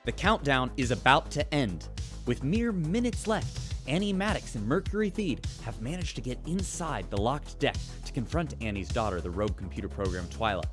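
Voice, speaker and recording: A man's voice: mid-range voice, sing-song, normal speed, lightly acted. An actor playing a male radio news reporter giving urgent live reports in an audio drama. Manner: urgent newscaster delivery. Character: upbeat male space-station radio reporter. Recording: music bed underneath.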